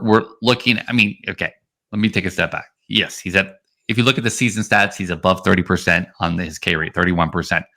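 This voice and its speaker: A man's voice: on the low side, bright, forceful tone. A man co-hosting a fantasy baseball podcast, giving casual analysis in a rambling way that stresses key points. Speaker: podcast co-host.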